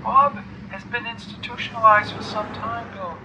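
A man's voice: high voice, fairly even intonation, normal speed, noisy background, pitched above the performer's own voice. A man voicing a weak, tired, pleading woman with a shaky voice in an audio story. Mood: shaken.

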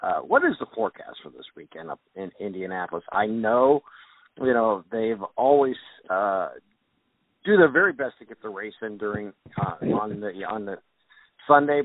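A man's voice: lowish voice, no room sound. Man hosting a sports podcast. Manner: relaxed, rambling with many fillers. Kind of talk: casual sports interview. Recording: tinny phone-like sound.

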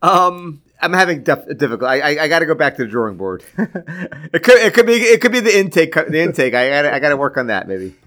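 Podcast guy chatting, lots of stammery restarts, swoopy lively pitch, kinda high. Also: fast talker. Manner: animated, stammering restarts, laughs often. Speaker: podcast co-host. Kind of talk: casual chat.

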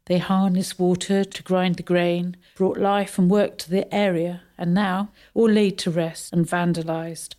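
Reflective townswoman actress in a radio play, lowish voice, bit resigned. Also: flat delivery, measured pace, hardly any pauses, dark tone, natural voice. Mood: wistful, resigned. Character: reflective townswoman, resigned and pessimistic about change. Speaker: community theatre actor.

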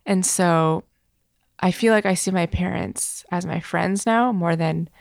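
A woman's voice: fairly low pitch, measured pace, creaky, no room sound, studio quality. A young adult woman co-hosting a podcast in casual, conversational chat. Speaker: podcast co-host.

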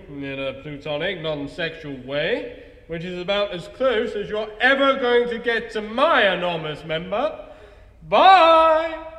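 An actor playing a smug, boastful friend in a comedy audio drama, mock-consoling and teasing, in echoing reverb. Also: male voice, sits high, sharp tone, heavily acted. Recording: echoey, reverberant voice.

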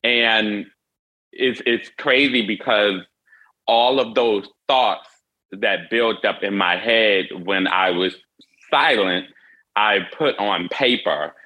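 Earnest man, bright forceful voice. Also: lowish voice, low-quality recording. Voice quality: wears hearing aids. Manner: earnest, halting with frequent restarts. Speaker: interview guest. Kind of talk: candid personal storytelling.

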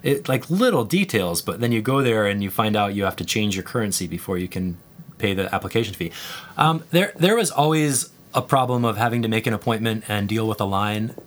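Male guest, low voice, loose and chatty, lots of "like" and "you know". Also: a little fast. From United States. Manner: relaxed, rambling with fillers. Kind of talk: conversational interview.

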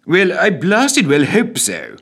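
A man's voice: mid-range voice, sing-song, measured pace, a bit bright, voice pushed up. A man playing a blustering, testy prime minister. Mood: exasperated. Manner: blustering, exasperated.

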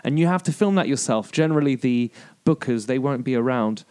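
A man's voice: medium pitch, expressive, brisk, a little mellow, smooth. A young man who grew up speaking Flemish, now talking with a British accent as a chatty podcast host who explains things and swears casually. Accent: British English. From Belgium.

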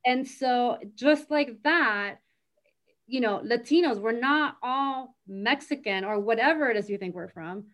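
A woman's voice: on the high side, unhurried, fairly bright tone. A woman speaking as a guest in a casual interview, talking freely and often adding "you know" and "right?". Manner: talkative, peppers speech with "you know" and "right?".

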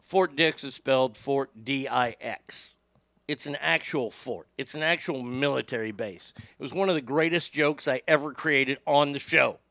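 A middle-aged man with a high voice that lacks bass, telling profane, animated stories as a radio host. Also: normal speed, no echo. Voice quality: high voice without much bass. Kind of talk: casual storytelling podcast.

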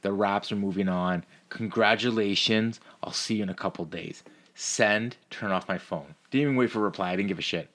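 A man's voice: fairly low pitch, a little fast. A man co-hosting a sports podcast, chatting casually and telling stories in a profane way, acting out the dialogue as he goes. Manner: profane, animated storyteller who acts out dialogue. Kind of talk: casual chat, storytelling.

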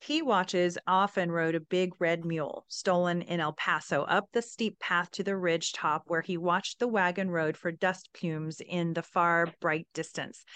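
A woman's voice: lowish voice, a bit bright, no room sound. A woman hosting a podcast, telling a story in scripted narration with casual asides. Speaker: podcast host.

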